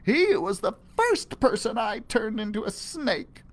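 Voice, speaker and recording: A man's voice: high voice, big pitch swings, measured pace, voice pushed up. A man voicing an old woman, a former pirate who was cursed into a snake, in a storybook read-aloud. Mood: sly.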